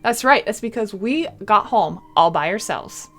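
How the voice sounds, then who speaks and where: A woman reading a visual novel aloud in an expressive storybook style, with casual playful commentary. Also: mid-range voice, measured pace. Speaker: video game streamer. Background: game music.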